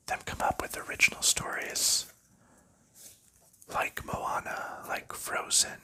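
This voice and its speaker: A man whispers his way through a relaxed ASMR chat, drawing every phrase out slowly. His whisper is bright and sharp-edged, close and breathy. He rambles softly and lets small chuckles slip in. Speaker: ASMR creator.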